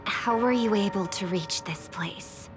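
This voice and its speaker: A woman's voice: mid-range voice, measured pace, close to an everyday voice. A woman voicing a wary, composed festival host in a game dub, speaking tensely and sometimes in a whisper. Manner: tense, often hushed and whispered. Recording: game cutscene with music underneath.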